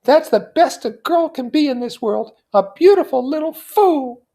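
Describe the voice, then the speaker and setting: A man's voice: extremely high pitch, lively intonation, normal speed, warm tone, pitched above the performer's own voice. A man voicing a dramatic, cynical and flighty young society woman in a theatrical, gushing way from a classic novel. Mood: confiding, dramatic.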